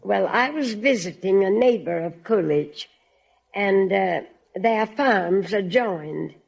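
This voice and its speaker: A woman's voice: medium pitch, lively intonation, slow, vocal fry, husky. A woman from Massachusetts with an American accent, answering a quiz host politely and formally as a contestant. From Massachusetts, United States. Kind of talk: unscripted interview answers.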